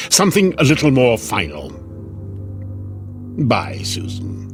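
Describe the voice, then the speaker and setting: A man's voice: medium pitch, wildly swooping pitch, takes it slowly, soft background music. An actor playing a devilish, smug tempter who stops time and toys with his victims in a sardonic, menacing radio drama style. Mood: cold, smug.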